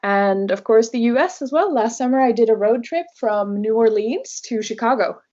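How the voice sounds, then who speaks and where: A woman's voice: a little high, lively intonation, moderate pace, darkish tone, highs cut off. A young woman from Norway, a native Norwegian speaker, answering interview questions conversationally in English as a travel blogger guest.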